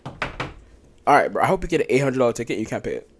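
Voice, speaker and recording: A young man talks fast on a casual podcast. His voice rises and falls a lot. He jokes around and calls everyone "bro". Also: mid-range voice, a little hiss or hum. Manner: animated, joking, says "bro" a lot. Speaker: podcast host. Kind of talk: casual chat.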